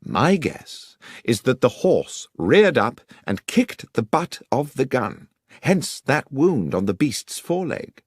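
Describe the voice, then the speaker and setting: A man voicing a brisk, assured detective who explains his deductions precisely and with authority, as audiobook dialogue. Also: medium pitch, big pitch swings, slightly rough, no room sound, studio quality, pitched above the performer's own voice, full character voice. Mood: assured, explanatory.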